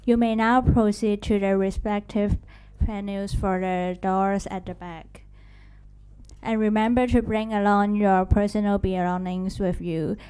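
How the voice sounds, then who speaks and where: A woman's voice: mid-range voice, dark tone, clear, resonant tone. A young woman hosting a session in a lecture hall, reading a formal introduction from notes at a podium mic. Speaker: session host.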